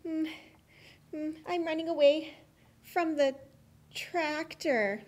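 Woman voicing a timid, high-pitched little bear cub. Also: slow pace. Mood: timid, worried. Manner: hesitant, childlike phrasing. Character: timid young bear cub puppet who loves cake. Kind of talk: children's puppet show.

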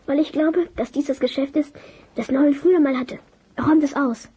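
A woman acting a young boy sidekick in a radio play, with a high but mellow voice. The kid is observant and eager, pressing points insistently. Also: fairly quick, close to an everyday voice, poor audio. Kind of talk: German audio drama acting.

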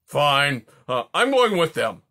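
Man putting on a loud frantic porcupine, fussy, excitable, storybook. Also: on the high side, slow, voice pushed up, full character voice.

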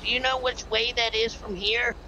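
A man's voice: very high voice, level tone, normal speed, noisy background. A man playing a dopey, laid-back stoner worried about his plants, rambling in slacker talk in an improvised game role-play. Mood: earnest, hazy.

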